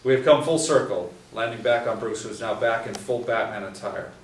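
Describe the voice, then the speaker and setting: A man reading screenplay stage directions aloud at a table read, in a plain, even way. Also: mid-range voice, fairly quick. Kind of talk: script reading. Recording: roomy echo, distant mic, faint hiss.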